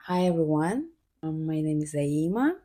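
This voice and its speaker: A young woman from Kazakhstan, a podcast guest telling her story in a halting way with fillers. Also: sits low, expressive, mellow. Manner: halting, with fillers and frequent laughs. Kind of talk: casual interview answers.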